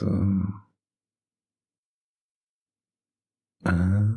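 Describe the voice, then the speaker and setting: A man acts out a smooth-talking mafia boss in an ASMR roleplay. His voice is deep and very raspy, with gravelly fry at the edges. He teases and threatens in the same unhurried, dominant, flirty drawl. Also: darkish tone, exaggerated voice. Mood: teasing, seductive.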